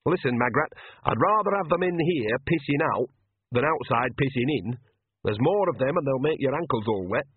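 A man's voice: medium pitch, lively intonation, moderate pace, slightly rough, no room sound, phone-quality sound, pitched above the performer's own voice. A man voicing a bossy, shrewd older woman witch who talks in a blunt, colloquial, cajoling way. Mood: persuasive.

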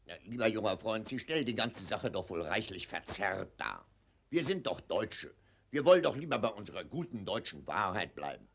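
An actor playing a pompous, patronizing older colonel in a 1940s radio play, blustering and lecturing. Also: male voice, lowish voice, big pitch swings, moderate pace, gravelly, a bit bright, slightly rough, exaggerated voice.

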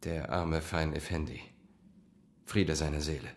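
An actor in a radio drama playing an agitated painter with a dark secret, phrasing things weightily and deliberately. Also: male voice, very deep voice, slight background noise. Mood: grave, guarded.

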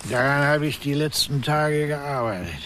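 An actor in a radio drama playing a courteous, diffident settler who speaks haltingly and searches for words. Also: male voice, a little high, animated, fairly bright tone, a little hiss or hum. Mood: eager, explaining. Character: Ed, diffident, courteous settler planning farmland, deferential. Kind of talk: naturalistic German radio drama acting.

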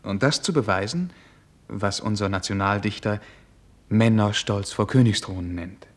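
An actor playing a courteous, naive young prince in a German radio play, speaking formally and earnestly with a hesitant, easily flustered manner. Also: male voice, fairly low pitch, moderate pace, close to an everyday voice. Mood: earnest explaining.

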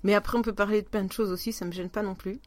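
A woman's voice: medium pitch, steady tone, very fast, no room sound. Casual woman podcast host, dry close sound. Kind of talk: casual conversational interview.

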